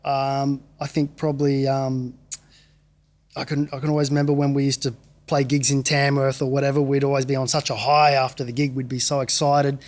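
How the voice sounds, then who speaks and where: Easygoing Australian man, quick to laugh. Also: medium pitch, little pitch movement. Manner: easygoing, laughs easily, lots of yeahs. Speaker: guest musician. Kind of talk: casual interview.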